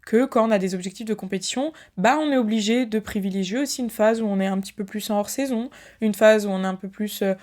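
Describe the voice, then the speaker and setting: A woman's voice: medium pitch, fast, few pauses, darkish tone. Tired chatty woman podcasting solo. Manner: chatty, many fillers, addresses listeners directly. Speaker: podcast host and fitness creator. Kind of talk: casual solo podcast talk.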